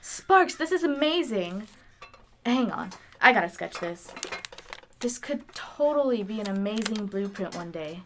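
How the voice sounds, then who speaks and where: An unhurried, medium-pitched actress's voice plays a nerdy young woman engineer in an audio drama. She sounds earnest and self-assured, musing aloud with a wry touch. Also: very animated, a bit bright, slight background noise. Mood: fascinated. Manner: wry, musing, talks to herself.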